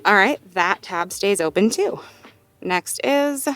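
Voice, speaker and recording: A woman playing a sweet, supportive girlfriend in an intimate audio roleplay, talking in a gently upbeat, conversational way as she thinks aloud. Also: medium pitch, animated, slow pace. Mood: casual, focused. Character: sweet, supportive girlfriend working through goals with partner.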